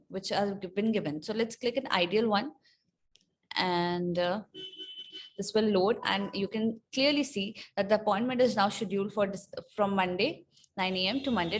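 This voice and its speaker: Woman doing a screen share tutorial, medium pitch, kinda halting as she goes step by step. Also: a little hiss or hum. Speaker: software tutorial presenter. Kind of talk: unscripted screen-share walkthrough.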